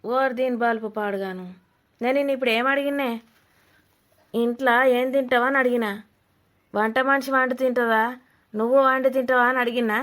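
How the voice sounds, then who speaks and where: A woman's voice: fairly high pitch, fairly even intonation, a little fast, pauses often, a bit bright, natural voice. A woman playing a blunt, nosy older village mother-in-law with a Telangana Telugu accent, scolding and mocking in a comedy cartoon.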